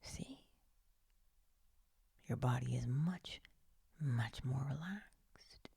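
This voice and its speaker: Female meditation guide, low-pitched and very breathy, often whispering, soothing and unhurried, with close, intimate delivery. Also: expressive, dry recording. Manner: hushed, soothing, gently coaxing with pauses. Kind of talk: slow guided meditation narration, partly whispered.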